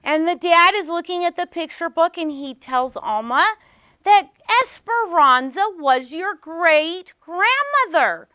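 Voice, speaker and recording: Female primary teacher for a read-aloud lesson. Very high, lively, bright voice, slow and emphatic, questioning young pupils. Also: no echo. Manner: animated, simple questions with emphatic repetition for small children.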